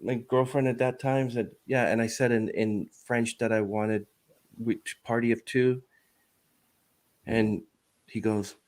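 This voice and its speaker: A man's voice: medium pitch, fairly even intonation, measured pace, pauses often, a little mellow. A man hosting a podcast interview, rambling and hesitant, full of fillers and restarts. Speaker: podcast host. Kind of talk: casual interview chat.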